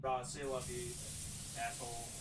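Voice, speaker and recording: A man's voice: medium pitch, completely flat delivery, measured pace, noisy background. A young man streaming a horror game, joking and swearing as he talks to his chat and reads on-screen text aloud. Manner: jokey, profane, talks to chat. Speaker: gaming streamer. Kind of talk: casual gameplay commentary.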